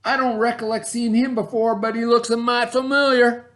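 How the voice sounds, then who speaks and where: A man puts on a jealous, scheming cowboy from a comic western storybook. The voice jumps well above his own pitch. The talk is folksy and emphatic. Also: little pitch movement, normal speed, fairly bright tone. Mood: suspicious. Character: jealous, scheming cowboy brothers and wicked daddy.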